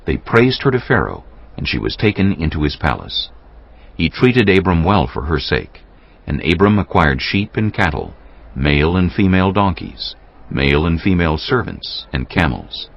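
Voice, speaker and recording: Very deep, gravelly male scripture narrator. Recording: muffled sound under clear music.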